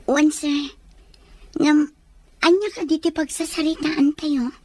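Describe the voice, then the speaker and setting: An inquisitive, deferential caregiver in a radio drama. She has a high, hesitant voice, recorded with faint noise behind it. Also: steady tone, takes it slowly. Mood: curious, hesitant. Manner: hesitant, questioning.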